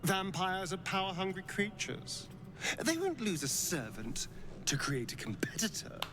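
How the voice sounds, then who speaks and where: An actor playing a sly, flirtatious, bitter vampire spawn in a video game, with an arch, theatrical drawl. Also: male voice, high-pitched, huge pitch swings, unhurried, background noise, heavily acted. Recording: game audio with music behind.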